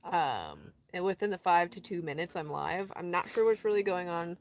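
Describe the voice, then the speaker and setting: A chatty woman with a slightly low voice and no particular accent, talking into her phone outdoors in the desert. She rambles easily, laughs a lot and slips into quiet asides. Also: very animated, normal speed. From Colorado. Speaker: van-dwelling traveler streaming live. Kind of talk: casual live stream chat.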